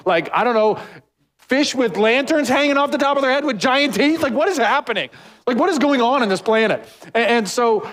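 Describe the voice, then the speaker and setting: A pastor preaching a casual, rambling sermon, full of "like" and asides to the congregation. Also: male voice. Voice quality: says he has a deep voice. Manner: rambling, animated, heavy use of "like".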